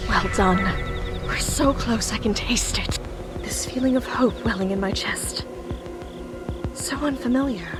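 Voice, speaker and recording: An actress playing a grimly hopeful female companion in a dark, witchy visual novel game. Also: on the high side, unhurried, long pauses, lightly acted. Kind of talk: video game voice acting. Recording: loud music under the voice.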